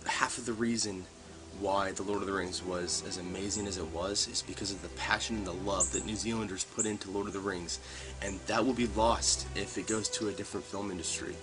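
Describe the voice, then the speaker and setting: Earnest young man with a deep voice. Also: steady tone, fairly quick. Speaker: fan. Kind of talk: fan video testimonial. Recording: music under the voice.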